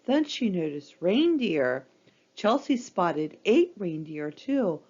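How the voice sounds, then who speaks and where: A woman narrating a scripted children's storybook in an expressive, dramatic read-aloud style for young listeners. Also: fairly low pitch, slow pace, lo-fi sound.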